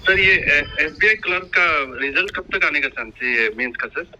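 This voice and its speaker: A man's voice: fairly high pitch, expressive, normal speed, sharp tone, slight background noise. An Indian man making a polite, deferential enquiry by phone, hesitant and apologetic in his wording. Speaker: caller. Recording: muffled recorded phone call.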